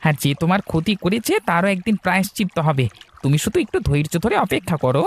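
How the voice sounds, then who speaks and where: A man's voice: high voice, animated, fast. A man voicing a sympathetic younger villager in a cartoon, earnest and soothing. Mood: consoling. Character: sympathetic younger village friend, male, consoling. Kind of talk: cartoon fairy-tale voice acting. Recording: music bed behind the voice.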